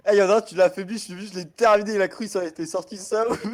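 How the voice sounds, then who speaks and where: A man's voice: sits high, steady tone, fast talker. A man playing a rowdy protester in a role-play game, chattering in a slangy, provocative way. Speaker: player acting a rowdy protester. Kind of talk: excited gaming chatter.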